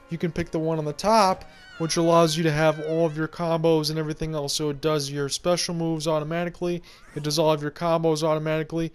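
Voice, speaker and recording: High-voiced man rambling over gameplay. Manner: rambling, conversational explaining. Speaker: game video creator. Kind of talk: casual gameplay commentary. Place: home room, indoors.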